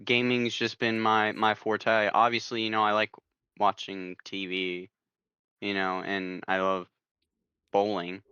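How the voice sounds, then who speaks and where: A man's voice: lowish voice, monotone, unhurried, sharp tone, clean tone, dry recording, highs cut off. An American man from New Jersey co-hosting a podcast in casual chat, jokey and given to repeating phrases for effect. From New Jersey, United States. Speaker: podcast co-host.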